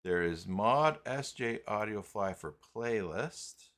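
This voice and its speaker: A man hosting an unscripted live software tutorial, talking haltingly as he thinks aloud while working. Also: fairly low pitch, animated. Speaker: host of live tutorial stream.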